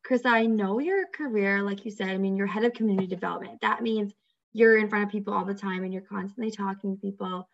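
A woman's voice: medium pitch, fast talker, gravelly. A woman hosting an interview, asking open questions in a conversational way on a slightly dull recording. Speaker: interviewer, host.

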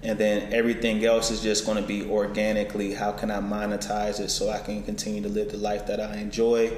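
A man's voice: fairly low pitch, monotone, brisk, a little mellow. A man in his twenties, a real estate broker, chatting casually and laughing often in an interview. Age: 20s. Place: indoor office building. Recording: echoey room with faint noise.

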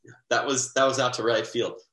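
Young male podcast co-host, rambling sports chatter, bright tone, echoey room. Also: mid-range voice, level tone, a little fast. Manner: rambling, lots of restarts and fillers. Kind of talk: casual sports podcast chat.

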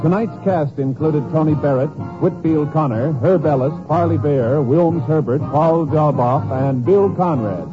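Higher-voiced male radio announcer over music. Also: unhurried, hardly any pauses. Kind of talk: 1950s broadcast credit reading. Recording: muffled vintage radio recording. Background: theme music.

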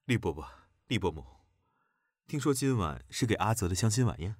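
A man plays a determined young officer in a modern audio drama. He speaks politely and deliberately in a deep voice, recorded very dry. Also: big pitch swings, no echo. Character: smooth-talking, determined young male officer. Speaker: voice actor.

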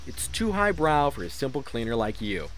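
An actor playing a conceited, defensive hack author in a comedic audio drama, pompous and blustering. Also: male voice, mid-range voice, very animated, normal speed, a bit bright. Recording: noisy amateur mix, faint hiss.

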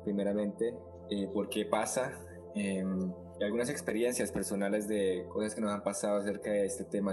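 Man, low voice, podcast host, hesitant, fillers. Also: low-quality recording. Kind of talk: casual podcast chat.

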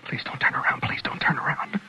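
An actor in an old radio thriller playing a nervous, furtive man who tells of his own crime in a measured, confiding way. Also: bright, forceful tone, whispered. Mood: dry, fearful. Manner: confiding, measured first-person storytelling. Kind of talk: 1940s radio thriller monologue. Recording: muffled old radio recording with music.

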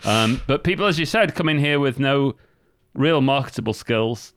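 A man in casual conversation, explaining his points in a measured way. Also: mid-range voice, lively intonation, a bit bright, dry recording, studio quality. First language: English.

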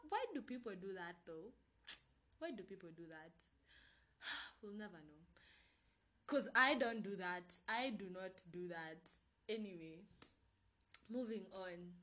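A woman's voice: mid-range voice, a bit bright. A young woman with a Kenyan accent hosting her own podcast, talking in a bubbly, hyped, chatty way and mixing in Swahili slang. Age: 20s. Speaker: podcast host. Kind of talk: casual solo podcast intro.

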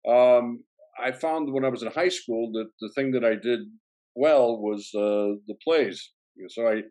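A man's voice: mid-range voice, unhurried, a little mellow. A man with a strong Chicago accent, an actor telling his story in an interview with many fillers and restarts. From south side of Chicago. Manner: rambling, full of "you know" fillers and restarts. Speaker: actor guest.

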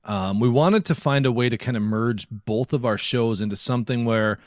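A man's voice: mid-range voice, moderate pace, dry recording, clean studio sound. A man co-hosting a podcast in casual chat, joking and often laughing as he talks. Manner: jokey, laughs often while talking. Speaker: podcast co-host.

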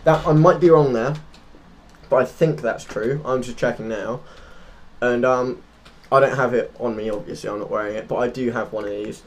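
A man's voice: mid-range voice, moderate pace. A man co-hosting a casual discussion of current affairs, speaking informally and tentatively, often correcting himself. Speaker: co-host. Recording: noticeable background noise.

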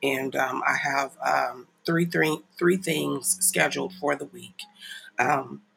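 Nasal, gravelly-voiced male livestream minister. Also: mid-range voice, steady tone, slow, sharp tone, a little hiss or hum, low-quality recording. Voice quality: nasal, stuffy. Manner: informal, apologetic, chuckling asides. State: congested from a stuffy nose. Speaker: online prayer ministry leader and host. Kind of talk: casual livestream devotional talk to followers.

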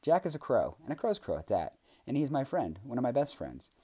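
A man voicing an excitable young male creature who rambles in rapid, overexcited chatter. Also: low-pitched, creaky, husky, full character voice.

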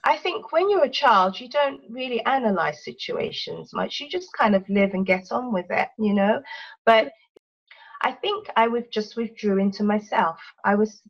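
Soft-spoken English woman, muffled line. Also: fairly high pitch, animated, moderate pace, creaky. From United Kingdom. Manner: thoughtful, with many "you know" fillers. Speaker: guest. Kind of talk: reflective interview talk.

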